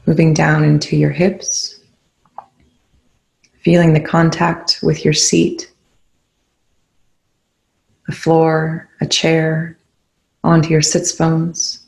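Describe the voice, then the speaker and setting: A calm, soothing voice leading a guided meditation in gently spaced phrases. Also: mid-range voice, slow pace, creaky, pauses often. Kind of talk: guided meditation, soft instruction. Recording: roomy, echoing indoor sound.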